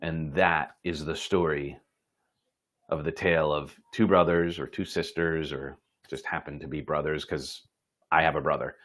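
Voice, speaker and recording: A man telling a motivational story in an informal, folksy and earnest way, pausing for dramatic effect. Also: very deep voice, fairly even intonation, a bit bright. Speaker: host telling a moral story.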